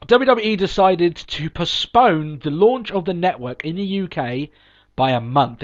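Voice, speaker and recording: A man with a head cold hosts a casual podcast, his voice pitched a little high and slightly stuffed up. He rambles cheerfully, restarting sentences and filling gaps with ums. The recording sounds dull and lo-fi. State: sniffly from a cold. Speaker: podcast host.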